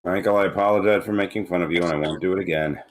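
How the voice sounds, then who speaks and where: A man's voice: sits low, steady tone, very fast, natural voice. A man playing a sullen, defensive teenage boy in a script read, grudging and slangy. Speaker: amateur actor reading a part. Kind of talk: screenplay table read, dramatic dialogue.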